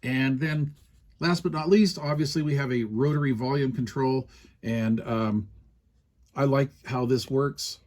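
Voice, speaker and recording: A man's voice: mid-range voice, steady tone, unhurried, a little mellow. A man giving a casual hands-on product demonstration as a reviewer, explaining things in a conversational way.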